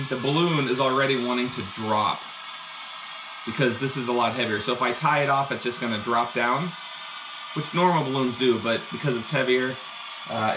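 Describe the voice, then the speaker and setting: A man's voice: mid-range voice, echoey room, background noise. A man teaching science through a live demonstration, upbeat and explaining each step for kids indoors. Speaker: science educator. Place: indoor room.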